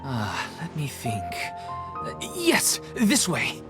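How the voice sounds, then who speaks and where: A man's voice: medium pitch, huge pitch swings, takes it slowly. A man playing a dutiful, deferential local helper in a video game, troubled and hesitant. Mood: hesitant, helpful. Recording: music under the voice.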